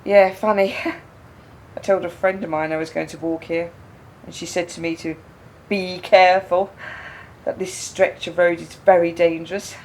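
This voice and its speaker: A woman's voice: lowish voice, little pitch movement, measured pace, a little mellow, a little hiss or hum. An actress playing a frank, wry, grieving woman in a naturalistic radio drama, speaking reflectively and repeating phrases for emphasis. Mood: wry, brittle. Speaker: radio play actor.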